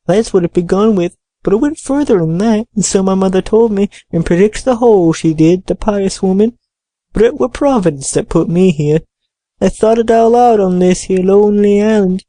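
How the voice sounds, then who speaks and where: A woman voicing a ragged, half-crazed male castaway with a hoarse, awkward, drawling voice, reading an audiobook. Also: mid-range voice, mellow, dry recording, lightly acted. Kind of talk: audiobook character voice.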